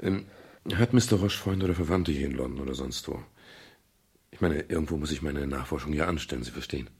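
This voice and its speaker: Wry, laconic gumshoe, very low and creaky, radio crime drama. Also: male voice, fast talker, darkish tone, no room sound, exaggerated voice. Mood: reserved. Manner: dry, understated, laconic. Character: wry, easygoing male private detective, gentlemanly. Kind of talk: 1970s German radio crime drama, noir first-person narration and dialogue.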